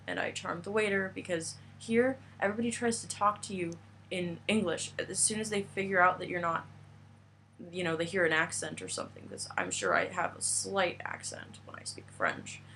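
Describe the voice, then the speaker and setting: Bright-voiced chatty American woman. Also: lowish voice, animated, normal speed, vocal fry, slight background noise, low-quality recording. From United States. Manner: chatty, rambling with fillers and restarts. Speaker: vlogger. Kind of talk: casual travel vlog chat.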